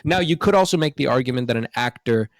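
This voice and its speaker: A man's voice: mid-range voice, expressive, fast, dry recording, studio quality. Male podcast host, mid-range voice, casual but earnest explainer, very little room sound. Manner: earnest, explanatory, checks in with "right?". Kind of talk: casual opinion discussion.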